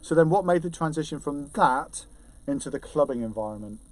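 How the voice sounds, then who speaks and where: A man's voice: on the high side, lively intonation, fairly quick, a little mellow. A man hosting a casual interview, asking eager, informal questions. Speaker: interviewer, host. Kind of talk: casual chat.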